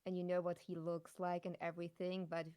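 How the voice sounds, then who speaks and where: A woman from Germany, a streamer guesting on a podcast, chatting in friendly, slightly halting English. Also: on the low side, monotone, a little mellow, smooth. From born in Poland, grew up in Germany. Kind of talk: casual interview chat.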